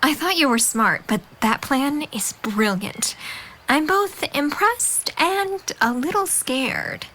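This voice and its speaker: Woman acting teasing teenage girl, highish pitch, bright tone, inquisitive, friendly, audio drama. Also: animated, slow, exaggerated voice. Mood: curious, impressed.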